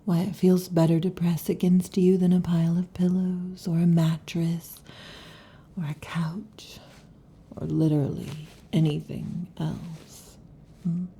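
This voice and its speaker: A possessive snake-woman lamia, played by a woman whispers intimately into a close mic with a gravelly, low, mellow tone. She teases slowly, coiling around the listener in an ASMR roleplay. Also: flat delivery. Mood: teasing whisper. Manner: soft intimate whispering, teasing. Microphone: close sensitive mic.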